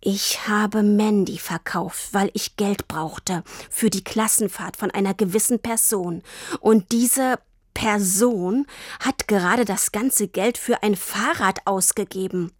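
A woman voicing a hot-tempered, hurt father in a dramatic audiobook, angry and hissing or shouting. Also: mid-range voice, fairly even intonation, moderate pace, few pauses, dry recording, studio quality, lightly acted. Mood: seething. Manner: shouting in anger, hissing through his teeth.

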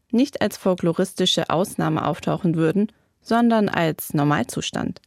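A woman reading her own radio commentary in a measured, reflective and lightly ironic way. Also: fairly low pitch, creaky, a little mellow, dry recording. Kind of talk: scripted radio essay. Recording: dry studio recording.

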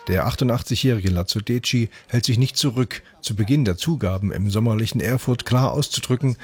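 A man's voice: mid-range voice, brisk, darkish tone. A man narrating a scripted radio report as the reporter, in composed full sentences.

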